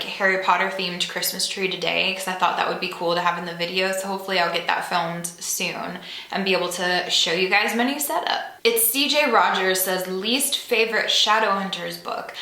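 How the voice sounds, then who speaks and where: Bright-voiced chatty young woman. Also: mid-range voice, echoey room. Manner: chatty, frequent "like" fillers, self-deprecating. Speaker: singer-songwriter answering fan questions. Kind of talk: casual Q&A chat. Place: home room.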